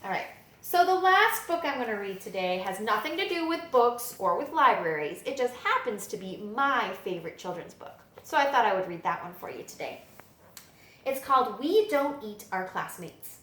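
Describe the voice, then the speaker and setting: A woman reading children's picture books aloud as a story-time librarian, with dramatic, expressive storytelling. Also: medium pitch, normal speed. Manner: dramatic, animated storytelling delivery. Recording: echoey room.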